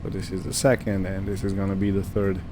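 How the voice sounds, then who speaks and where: A man giving casual roleplay gameplay commentary, unhurried and thinking aloud as he goes. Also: deep voice, monotone, background noise. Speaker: gaming video host.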